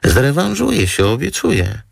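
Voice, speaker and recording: A man's voice: medium pitch, sing-song, unhurried, gravelly, no room sound, pitched above the performer's own voice, heavily acted. A man voicing a gushing, theatrical young female model with a cooing, coquettish manner in an audiobook. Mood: coaxing.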